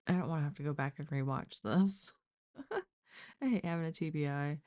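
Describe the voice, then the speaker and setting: A woman's voice: low voice, brisk, darkish tone. A woman hosting a live stream, casually reacting to a crime show in a blunt, exclaiming way and thinking aloud.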